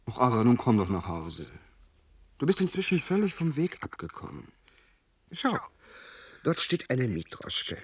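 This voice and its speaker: Excitable, husky-voiced gentleman. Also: medium pitch, unhurried, pauses often. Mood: concerned, coaxing. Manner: insistent, dramatic. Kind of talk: German radio play acting.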